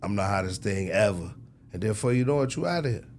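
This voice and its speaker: A man's voice: lowish voice, animated, fast talker, darkish tone. A man talking casually as a record executive in an interview, emphatic and slangy, repeating phrases for effect. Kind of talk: casual, animated interview talk.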